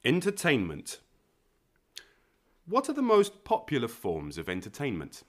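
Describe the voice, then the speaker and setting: Lively man reading lesson questions. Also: mid-range voice, unhurried. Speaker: teacher asking lesson questions. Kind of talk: scripted English lesson questions.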